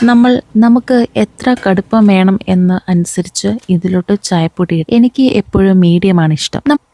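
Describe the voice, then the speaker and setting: A woman's voice: medium pitch, noisy background. A woman narrates a recipe vlog, chatting to viewers and often putting questions to them. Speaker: cooking vlogger.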